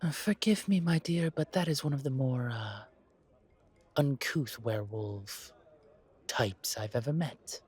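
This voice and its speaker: Man acting as a haughty male vampire, slow measured delivery, husky, fussy and formal, roleplay audio drama. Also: medium pitch, a little mellow. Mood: mocking. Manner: pompous, fussy, formal wording. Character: pompous haughty male vampire gentleman, disdainful yet protective.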